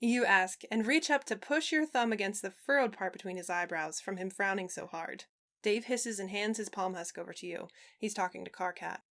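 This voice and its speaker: A woman's voice: mid-range voice, moderate pace, a bit bright. A woman reading a story aloud as co-reader, in a narrating style with casual asides. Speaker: podfic co-reader.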